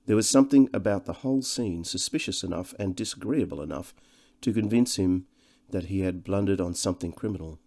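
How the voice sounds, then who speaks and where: A man's voice: sits low, a little fast, hardly any pauses, dark tone. A man reading literary narration as an audiobook narrator.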